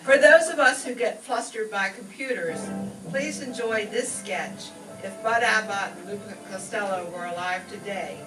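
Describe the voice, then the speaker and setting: A woman's voice: medium pitch, moderate pace. A woman master of ceremonies reading scripted introductions at a live stage show. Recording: echoey, distant mic, music behind.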